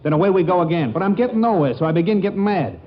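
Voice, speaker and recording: A man acting a boastful, bumbling detective in a 1940s radio comedy skit, telling his tale in slangy deadpan patter. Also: high-pitched, rapid-fire. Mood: breathless storytelling. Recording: dull vintage 1940s radio broadcast.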